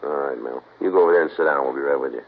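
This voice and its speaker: A no-nonsense detective, very deep, quick and terse, thin 1950s radio sound. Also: male voice, creaky, heavily acted. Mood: probing. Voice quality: terse, flat, matter-of-fact. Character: clipped, terse male police detective. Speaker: police detective and narrator. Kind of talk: 1950s radio crime drama narration. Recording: tinny old radio broadcast, faint hiss.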